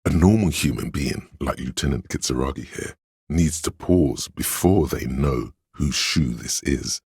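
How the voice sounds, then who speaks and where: Casting: pedantic inner narrator for a game, husky bass, erudite and pompous, measured delivery, close dry sound. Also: male voice, animated, darkish tone, no room sound, full character voice. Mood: dry, condescending. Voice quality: very deep, gravelly, husky. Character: pedantic know-it-all inner voice, a walking encyclopedia of trivia.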